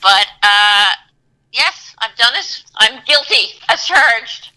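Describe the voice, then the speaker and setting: A woman author answering interview questions in unhurried casual chat, with frequent fillers and amused asides. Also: on the high side, animated, pushed and bright, low-quality recording. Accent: Newfoundland. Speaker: guest author.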